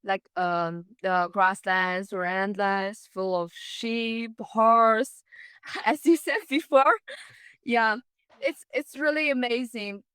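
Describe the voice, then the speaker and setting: A young woman from Inner Mongolia, a native Mongolian speaker, talking as a podcast guest and pausing often with "uh". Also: on the high side, fairly bright tone, clean tone, dry recording. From Inner Mongolia, China. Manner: hesitant, many "uh" pauses, laughs lightly. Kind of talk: casual interview talk.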